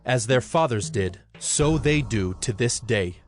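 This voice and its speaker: A man narrating scripture from a script in a solemn, measured manner. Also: mid-range voice, animated, faint music behind.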